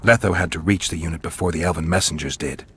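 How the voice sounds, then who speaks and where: An actor playing a gruff, stoic monster hunter in a video game, with a gravelly low voice and a terse, deadpan delivery. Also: male voice, fast, slight background noise. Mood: grim, matter-of-fact.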